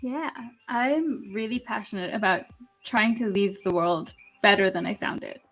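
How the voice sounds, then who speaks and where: Upbeat young woman guest, software developer. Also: medium pitch, normal speed, soft background music, poor audio. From Kenya. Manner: friendly and upbeat, laughs often, uses fillers. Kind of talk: casual interview.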